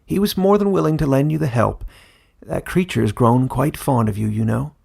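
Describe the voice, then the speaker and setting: A man voicing a gentle, wise old male warden in a fantasy audiobook, with a kindly, fond manner. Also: mid-range voice, lively intonation, normal speed, gravelly, husky, pitched above the performer's own voice, full character voice. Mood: gentle, knowing. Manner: kindly, fond, addresses listener as child.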